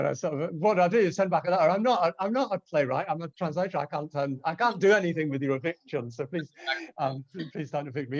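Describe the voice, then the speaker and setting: A man talks very quickly and conversationally, with wry self-deprecation. His medium-pitched voice comes through dry and slightly muffled, like an online call. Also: no echo. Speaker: translator, panel guest. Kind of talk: conversational anecdote telling.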